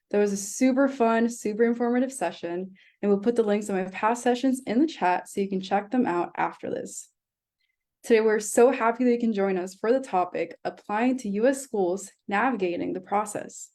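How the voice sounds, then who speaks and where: A woman's voice: mid-range voice, darkish tone. A woman from El Salvador moderating a live online session, reading upbeat scripted introductions. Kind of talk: live webinar hosting.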